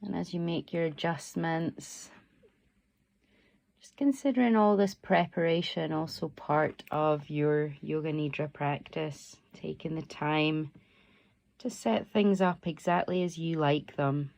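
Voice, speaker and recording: Slow, low-voiced woman guiding meditation. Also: steady tone, gravelly, a little mellow, no echo. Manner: soothing, unhurried, permissive. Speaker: yoga nidra teacher. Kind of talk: guided meditation, unscripted gentle instruction.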